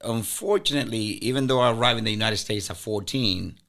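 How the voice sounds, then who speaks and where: Earnest low-voiced Dominican-born man in his fifties. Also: fast, fairly bright tone. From Dominican Republic. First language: Spanish. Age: 50s. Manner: earnest, stammers on restarts, laughs often. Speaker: podcast guest. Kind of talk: casual interview chat.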